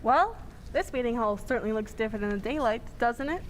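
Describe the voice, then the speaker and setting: A woman's voice: fairly high pitch, expressive, brisk, lightly acted. An actress playing a poised, curious lady sleuth in old-time radio drama style, with polite, inquisitive delivery. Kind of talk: 1940s radio drama acting. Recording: echoey hall, faint background noise.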